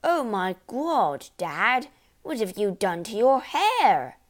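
A woman's voice: medium pitch, very animated, a little slow. A woman voicing a blunt, exclaiming young son in a storybook. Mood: shocked. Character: startled, tactless young son.

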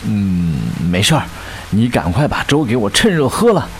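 Low-voiced lovesick young man. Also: sing-song, normal speed. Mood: upbeat. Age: young adult. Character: earnest, lovesick young bar singer boyfriend. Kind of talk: Chinese radio drama acting. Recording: noisy hiss and vehicle ambience.

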